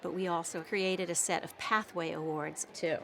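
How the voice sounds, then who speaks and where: A woman speaking as an education expert in a documentary, measured and explanatory. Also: lowish voice, steady tone, gravelly, background noise. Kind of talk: documentary interview. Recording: music bed under voice.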